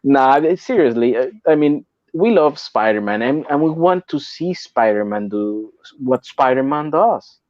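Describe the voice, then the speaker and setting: A man's voice: medium pitch, normal speed, few pauses, no room sound, highs cut off. A man from Puerto Rico with a Puerto Rican accent, chatting casually on a podcast, often repeating and restarting words as he talks. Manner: repeats and restarts words, animated when making a point.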